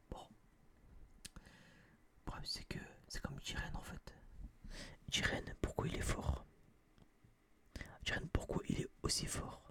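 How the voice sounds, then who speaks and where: A young man whispering a casual, opinionated ASMR review in a breathy voice, rambling and slangy, close to a binaural microphone. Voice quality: breathy, mostly unvoiced whisper. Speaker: host of an ASMR film review video.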